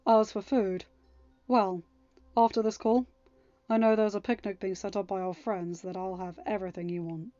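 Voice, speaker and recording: A woman's voice: mid-range voice, fairly even intonation, normal speed, mellow, no echo, muffled recording, soft background music, natural voice. A woman voicing a kind but firm young king in a storybook, with a courteous, decisive and formal manner. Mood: reassuring.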